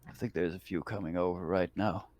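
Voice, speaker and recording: A man playing a gruff, world-weary military medic in a tabletop role-playing game, talking in a dry, laconic way. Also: sits low, fairly even intonation, fairly quick, darkish tone, slight background noise, natural voice. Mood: guarded. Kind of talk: improvised tabletop role-play.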